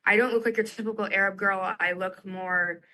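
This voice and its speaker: A woman's voice: mid-range voice, steady tone, a little fast, bright, forceful tone, poor audio. A woman answering interview questions in casual chat, as a content creator guest. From United States and Egypt.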